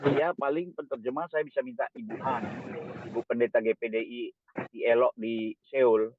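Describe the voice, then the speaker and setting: A man's voice: medium pitch, steady tone, moderate pace. A man pushes the group toward bold, direct action in an online meeting. His voice comes through a tinny, phone-like line. A faint hiss sits under it. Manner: forceful, urging bold action. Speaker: meeting participant. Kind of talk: casual online meeting discussion.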